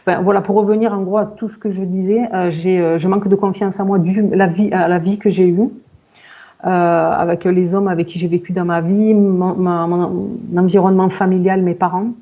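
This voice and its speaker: A woman in her forties giving a halting personal account in conversation, searching for words and often repeating herself. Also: on the low side, little pitch movement, warm tone, dull audio. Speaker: client in a hypnosis session interview.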